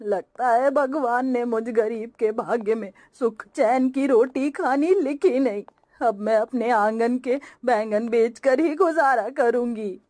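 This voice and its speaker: A woman's voice: on the high side, measured pace, a little mellow, no echo. A woman voicing a poor, sorrowful old grandmother in a cartoon story, plaintive and self-pitying. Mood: sorrowful, resigned. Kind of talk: Hindi cartoon story voice acting.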